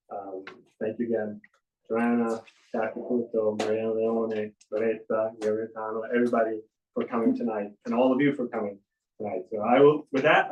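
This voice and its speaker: Man host, deep voice, sincere and halting, off-mic in an echoey bookstore. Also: recorded from a distance. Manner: halting, with many fillers, earnest and grateful. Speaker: bookstore owner hosting a reading. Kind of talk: casual event welcome speech. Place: bookstore event room. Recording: echoey room, voice from afar, faint noise.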